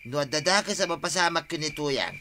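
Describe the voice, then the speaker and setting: An actor playing an anxious, homely husband in a radio drama, with a hesitant manner. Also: male voice, a little high, expressive, a little fast, natural voice. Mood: worried. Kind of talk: radio drama acting in Ilocano. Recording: noisy track with chirping crickets.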